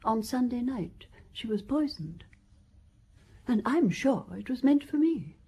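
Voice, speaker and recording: An actress playing a dainty, composed elderly widow in a radio drama, earnest and precise with dramatic pauses. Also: female voice, fairly high pitch, lively intonation, warm tone, slightly rough. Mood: earnest, anxious. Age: older. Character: dainty, genteel, composed old French widow.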